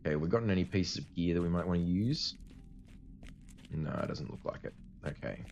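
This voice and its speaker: A man's voice: low-pitched, brisk, vocal fry, darkish tone. A man giving casual, explanatory commentary as a let's play host, his voice tired and cutting out slightly from a cold. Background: game music.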